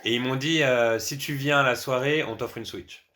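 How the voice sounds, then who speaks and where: A man's voice: medium pitch, fairly even intonation, normal speed, bright, forceful tone, slight background noise. A man with an accent from France, a podcast guest telling personal anecdotes in a casual, conversational way. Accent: French from France.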